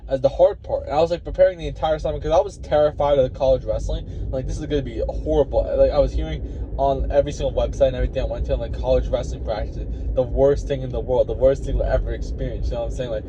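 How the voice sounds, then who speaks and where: A young man, a college wrestler, telling his story in a casual, excitable vlog, full of "like" and "you know what I'm saying". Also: mid-range voice, fast. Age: young adult. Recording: muffled lo-fi audio with traffic noise.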